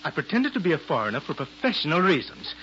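A man's voice: fairly high pitch, sing-song, quick pace, fairly bright tone. An actor playing an arrogant, defensive male circus performer in a 1940s-style radio drama, speaking with stiff, put-on foreign airs. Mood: indignant. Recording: dull lo-fi old radio broadcast with faint hiss.

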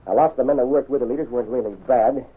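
A man playing an excitable, plain-spoken posse member in an old-time radio western, talking briskly. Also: medium pitch, breathy edge. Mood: explaining. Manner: brisk, animated. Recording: tinny old radio broadcast.

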